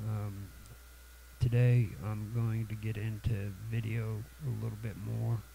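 Tutorial host, man, sniffly cold, low gravelly voice, hesitant delivery with lots of ums. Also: background noise. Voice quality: halting, many fillers and restarts. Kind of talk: casual screen-recorded software tutorial.

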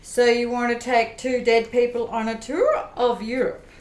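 Bureaucrat, played by a woman: high, formal, sceptical, radio play delivery in an echoey room. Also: flat delivery, normal speed, close to an everyday voice. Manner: formal, officious. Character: officious, sceptical female government clerk. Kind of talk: old-time radio play acting. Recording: roomy echo.

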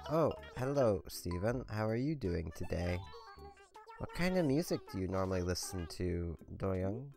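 A hoarse man reading the lines of a deadpan female rabbit villager in a flat, matter-of-fact way. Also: sits low, measured pace, music in the background, exaggerated voice. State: hoarse, sore throat.

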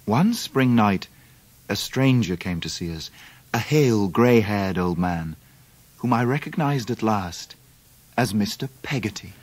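An actor playing a gentle, devoted English gentleman recalling his life in a radio drama, with measured literary phrasing. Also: fairly low pitch, lively intonation, no room sound. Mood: reflective, tender. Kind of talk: 1990s radio drama narration.

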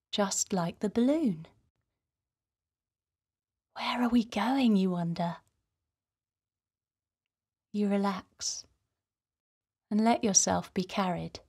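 A woman hosting a guided meditation for children, speaking in a gentle, soothing, softly breathy way. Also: medium pitch. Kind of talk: guided relaxation narration for children.

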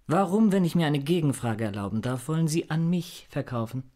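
An actor playing a fussy, courteous, shady dealer with a formal, over-polite manner in a German radio crime drama. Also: male voice, a little high, expressive, fairly quick, dark tone, natural voice. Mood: polite, guarded.

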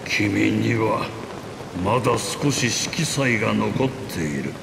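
A grave male sage makes slow, portentous game pronouncements. His creaky voice rings in long reverb amid clear rain noise. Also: on the low side, expressive, full character voice. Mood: ominous. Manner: deliberate, portentous. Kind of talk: Japanese game voice acting, solemn pronouncements. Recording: long echoing reverb, loud rain ambience.

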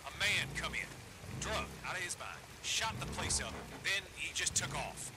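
Actor as an animated, irate camp boss. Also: male voice, on the high side, normal speed, very noisy, close to an everyday voice. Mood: exasperated. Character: irate, folksy male survivor camp boss, vengeful. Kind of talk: video game voice acting.

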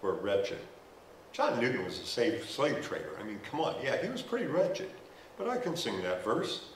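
Older pastor preaching in an echoey church. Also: male voice, medium pitch, very animated, moderate pace, slight background noise. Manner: conversational preaching with humorous asides; gestures while walking the stage. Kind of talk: sermon. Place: church stage, indoors. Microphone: headset mic. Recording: roomy church sound from a distance.

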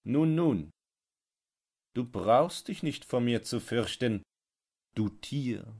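A man's voice: medium pitch, expressive, slow pace, a little mellow, pitched above the performer's own voice. A man voicing a condescending, domineering dog owner with an angry temper in a dramatised storybook reading. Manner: condescending, commanding, sharply insistent.

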